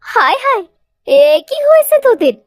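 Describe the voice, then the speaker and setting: A woman's voice: extremely high pitch, big pitch swings, normal speed, no echo, studio quality. A woman voicing a distraught, pleading village mother in a cartoon story, wailing and lamenting. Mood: wailing despair.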